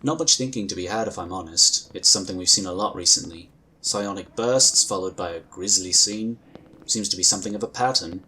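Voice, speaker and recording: A man playing a calm, diplomatic male inquisitor who talks in a measured, courteous way. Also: deep voice, poor audio. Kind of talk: fan audio drama acting.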